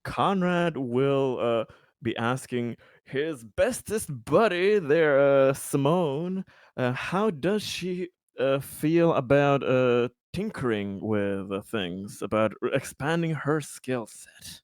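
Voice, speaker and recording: A man at a tabletop game, speaking in a mid pitch with a lot of halting starts and stammers. His voice is dry and close to the mic. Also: expressive, no room sound. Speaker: player.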